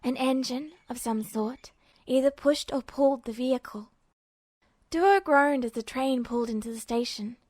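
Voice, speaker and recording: Female amateur narrator for fiction, fairly high voice, dry, close sound. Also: normal speed, a little mellow, dry recording. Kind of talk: scripted story narration.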